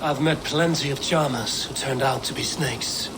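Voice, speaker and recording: An actor playing a steadfast Viking clan warrior, measured, blunt and commanding, in a video game cutscene. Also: male voice, medium pitch, background noise, close to an everyday voice. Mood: guarded.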